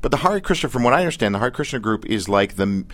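A man's voice: medium pitch, big pitch swings, fast, dry recording. A man hosting a live radio interview, chatting informally and musing aloud with rhetorical questions. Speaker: radio show host and interviewer. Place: radio studio. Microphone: studio broadcast microphone.

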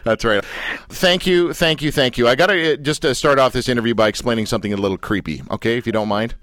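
A man's voice: medium pitch, quick pace, fairly bright tone, dry recording. A man hosting a radio interview, chatty and self-deprecating, who laughs often. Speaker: radio host.

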